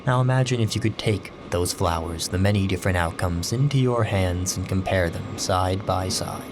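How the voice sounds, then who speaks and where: A man playing a brooding story host, delivering scripted dramatic narration in a deliberate, rhetorical way. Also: low voice, over music, close to an everyday voice. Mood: musing. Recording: clear background train noise.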